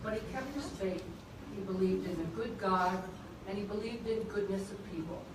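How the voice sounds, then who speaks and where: A woman's voice: mid-range voice, moderate pace, noisy background. A woman in her eighties, a former choir member, chatting about her school days and reading a letter aloud to a reunion group. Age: 80s. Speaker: choir alumna. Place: church hall at a reunion. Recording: distant phone stream in an echoey church hall with a crowd.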